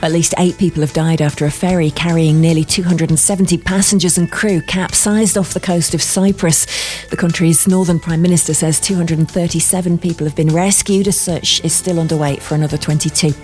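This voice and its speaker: Low-voiced woman newsreader. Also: steady tone, fairly quick, darkish tone, soft background music. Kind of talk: formal scripted radio news bulletin.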